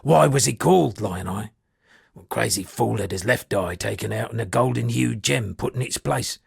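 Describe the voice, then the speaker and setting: A man voicing a roguish shipwrecked sea captain and amateur poet in a video game, with a gravelly, husky voice and a wry, flowery storytelling manner. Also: fairly low pitch, moderate pace, no echo, studio quality, full character voice. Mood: wry, musing. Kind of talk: video game character dialogue.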